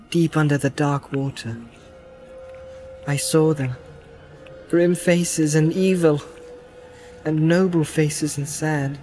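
Man acting a slow, dreamy, haunted gentleman. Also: on the high side, pitched above the performer's own voice. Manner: dreamlike, drifting. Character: dreamy, haunted, solemn young gentleman wanderer. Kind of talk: dramatic audiobook character reading.

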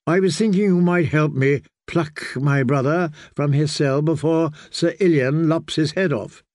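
Guy reading as a grim cold knight, slow and level, flat menace, dry close mic. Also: a little high, dry recording, lightly acted. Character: cold, grim one-handed knight, adult man.

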